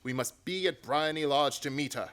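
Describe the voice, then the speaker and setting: A man playing a confident, commanding gentleman detective in a theatrical radio play. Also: on the high side, moderate pace, close to an everyday voice. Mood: instructing.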